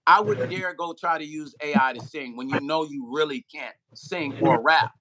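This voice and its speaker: A man hosting a casual livestream, chatting with a guest and reading viewer comments aloud in an animated way. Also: a little high, moderate pace, over a noisy crowd. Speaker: livestream host.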